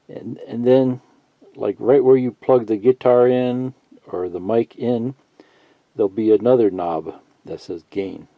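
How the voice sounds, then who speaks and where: A man giving a casual, unscripted how-to tutorial, thinking out loud in a halting, folksy way and reading bits of instructions aloud. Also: medium pitch. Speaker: hobbyist instructor. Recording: muffled lo-fi sound, roomy echo.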